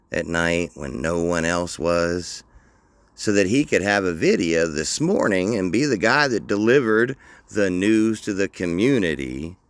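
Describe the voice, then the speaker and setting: A man's voice: lowish voice, fairly bright tone, clean tone, no room sound. A man hosting a commentary show, mocking the clips he plays with dry sarcasm. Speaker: commentary host.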